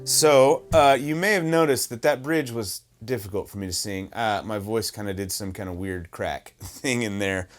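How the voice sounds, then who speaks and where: A man with a baritone voice teaching a worship song on guitar, talking in a relaxed, self-deprecating way full of ums and uhs. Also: normal speed, few pauses. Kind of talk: casual guitar tutorial talk. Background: acoustic guitar playing.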